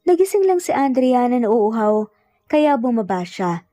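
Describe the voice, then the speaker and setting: A woman's voice: a little high, sing-song, measured pace, dark tone, no echo. A woman with a Filipino accent reading a scripted romance story as narrator. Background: background music.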